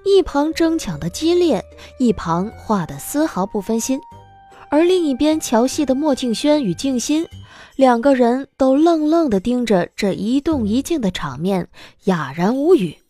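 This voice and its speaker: A woman narrating a scripted audio drama in an expressive storytelling style. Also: sits high, unhurried, faint music behind.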